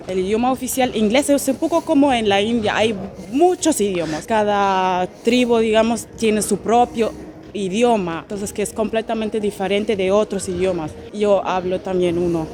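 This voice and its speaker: A young German woman, a guest in a casual interview, talking in a chatty way with frequent laughs and fillers. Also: mid-range voice. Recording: faint background noise.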